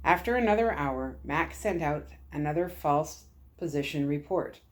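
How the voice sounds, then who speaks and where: A woman reading a story aloud in an expressive narrating style, as a storyteller. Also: low-pitched, normal speed.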